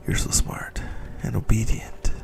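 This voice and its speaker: A man voicing a possessive, centuries-old vampire lover in a whispered ASMR roleplay, smugly menacing and intimate, with a breathy, gravelly delivery.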